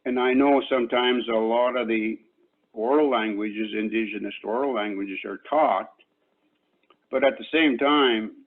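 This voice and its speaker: An older man whose first language is Cree, an Elder from Canada, giving a reflective talk to an online panel in a slow, measured way with many pauses. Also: mid-range voice. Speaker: Elder and invited speaker. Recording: tinny phone-like call audio.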